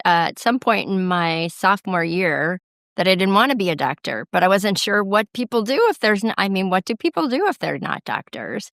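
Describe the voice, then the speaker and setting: A woman's voice: on the low side, creaky, no echo, clean studio sound. A middle-aged woman from the American Midwest, speaking as a guest executive in an interview, thoughtful with many fillers and restarts. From Midwest US, Ohio. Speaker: guest, chief financial officer. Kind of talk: conversational interview answers.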